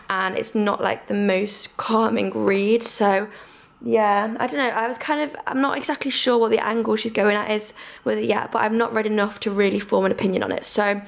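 A woman's voice: mid-range voice, fairly quick, creaky. A woman, chatting casually and informally to camera as a vlogger, with gushing enthusiasm. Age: 20s. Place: small flat, bedroom and kitchen, indoors.

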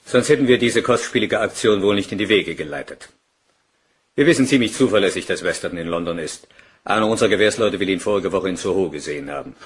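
An actor playing a brisk, businesslike boss directing a manhunt in a German crime radio play. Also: male voice, low voice, husky.

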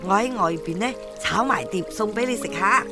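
A woman's voice: medium pitch, normal speed, close to an everyday voice. Woman playing a coaxing, doting old grandmother. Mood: fussing, caring. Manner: coaxing, repeats herself soothingly. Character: doting elderly grandmother, patient and fussing. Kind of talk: radio drama acting. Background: music behind the voice.

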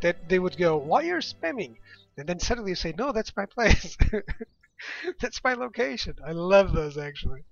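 A young man talks loosely to his viewers while he plays, rambling from one thought to the next and laughing often. His voice sits a little high and sounds bright and forceful. A dull, lo-fi mic carries it, with faint game music underneath. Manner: chatty, rambling, quick laughs. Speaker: game streamer. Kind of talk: casual let's-play chat with viewers.

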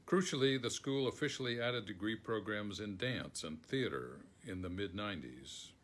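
An older man with an American accent reads a prepared text aloud. His voice sits low, and his delivery is measured. It is recorded indoors on a phone, as if at home. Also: expressive, fairly bright tone. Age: older, around 70. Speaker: foreword author reading aloud. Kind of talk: reading a written foreword aloud. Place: book-lined room at home, indoors.

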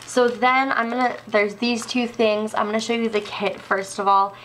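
Gushing stuffy-nosed young woman, slow. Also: mid-range voice, creaky, a little hiss or hum, rough recording. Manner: gushing, excitable, laughs often. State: a little stuffy. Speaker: planner sticker vlogger. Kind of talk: casual haul chat. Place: indoors at a wooden table.

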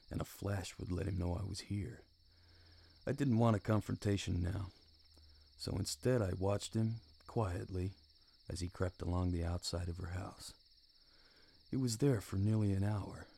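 An actor playing a world-weary, hardboiled private detective, narrating a noir radio play in a dry, wry, brooding way. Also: male voice, low voice, creaky, warm tone, full character voice.

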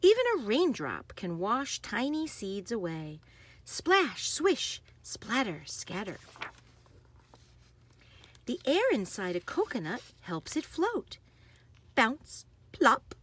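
Animated, slow-paced woman reading a picture book. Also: on the high side, slightly rough. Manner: animated, emphasizing action words. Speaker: read-aloud host. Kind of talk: expressive read-aloud of a children's picture book.

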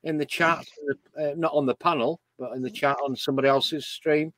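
A man from Lancashire, England joining a panel call from home, relaxed and chatty. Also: fairly high pitch, a little fast, dry recording. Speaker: panel guest. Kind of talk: casual chat.

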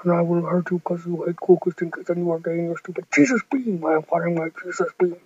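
A performer voicing a boastful male Jesus figure who threatens and fires magic beams, in a gruff, dropped voice on a dull lo-fi home recording. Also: mid-range voice, steady tone, quick pace, a little mellow, recorded from a distance, voice pushed down, natural voice. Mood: menacing.

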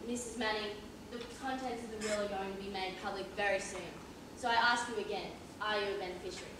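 A woman playing a brisk, dry police inspector, polite but firm, in an amateur stage play. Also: mid-range voice, fairly even intonation, lightly acted. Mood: brisk, probing. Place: large theatre stage, indoors. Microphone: far camera. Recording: echoey theatre from far off, noisy.

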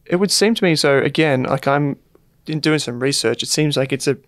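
A man's voice: a little high, brisk, no room sound. Man interviewing, dry close sound. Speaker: podcast host, interviewer. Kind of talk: conversational interview.

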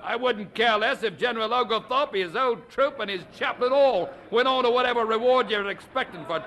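An actor playing a gruff, grumbling ship captain with rough working-class speech in a radio drama. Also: male voice, extremely high pitch, a little fast, sharp tone, full character voice. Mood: surly.

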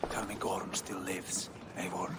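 An actor playing a commanding, somewhat weary Viking elder brother in a video game, with an authoritative, deliberate manner. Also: male voice, sits low, flat delivery, whispered. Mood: hushed, weary. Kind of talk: video game cutscene acting. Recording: music behind the voice.

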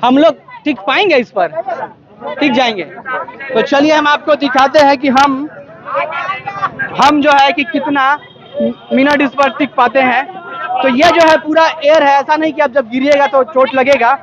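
A young man, his voice sitting very high, chats and teases his way through a fair vlog. He talks into a handheld mic outdoors, and the sound is dull and lo-fi with a loud crowd all around. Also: fairly even intonation, a little slow. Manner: chatty, teasing, laughs often. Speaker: vlogger host. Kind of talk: lively on-the-spot fair commentary.

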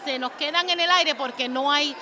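A woman's voice: sits high, normal speed. A woman representing an advocacy organization, explaining in a concerned way. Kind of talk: event interview. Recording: noticeable background noise.

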